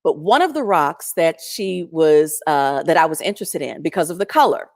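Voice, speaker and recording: A woman telling a personal story in a chatty, animated way, speaking over a video call in a strong, loud voice. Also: low voice, moderate pace. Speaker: featured guest. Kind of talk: storytelling testimony.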